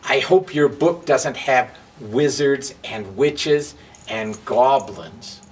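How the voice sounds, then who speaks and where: A man voicing a prim, scolding matchstick in a storybook, disapproving, with drawn-out emphasis. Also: mid-range voice, animated. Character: prim, scolding male match, a book-banning busybody. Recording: distant mic with faint background noise.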